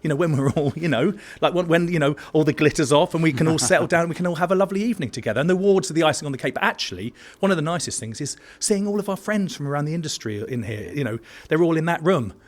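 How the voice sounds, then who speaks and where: A man's voice: fairly high pitch, expressive, rapid-fire, hardly any pauses. A man chatting casually in an interview, self-effacing and a little hesitant. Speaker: pantomime dame actor, interview guest. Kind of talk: casual backstage interview chat.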